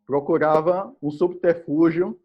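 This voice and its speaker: A man's voice: fairly high pitch, steady tone, a little slow, breathy edge. A male host from Brazil, chatting with a guest on a live video call, friendly and enthusiastic with many fillers. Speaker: podcast host. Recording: dull, lo-fi call audio.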